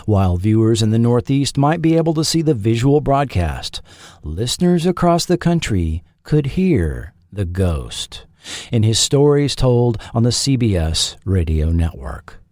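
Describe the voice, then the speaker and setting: A man reading scripted documentary narration in a measured, dramatic storytelling manner. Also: medium pitch, big pitch swings, a little mellow, no echo, clean studio sound. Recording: music bed under the voice. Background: background music.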